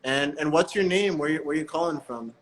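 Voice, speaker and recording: A man hosting a breathwork live stream, chatting in a relaxed, friendly way with callers and often correcting himself mid-sentence. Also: a little high, a little fast.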